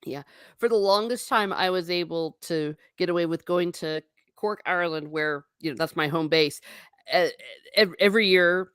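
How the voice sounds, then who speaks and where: A woman's voice: fairly low pitch, normal speed, fairly bright tone, clear, resonant tone, dry recording, clean studio sound. A woman hosting a casual conversation, a teacher who talks in a chatty way and often laughs mid-sentence. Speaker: podcast host.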